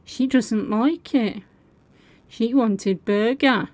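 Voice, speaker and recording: Brief: female commentary host, slow and deliberate, fairly high voice, dry close sound, sarcastic edge. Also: a little mellow, dry recording. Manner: sarcastic, scolding. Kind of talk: reaction commentary.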